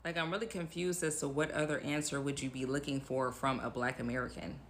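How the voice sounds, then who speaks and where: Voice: medium pitch, steady tone, fairly quick, fairly bright tone, a little hiss or hum. A speaker giving emphatic, incredulous explanations in a casual commentary.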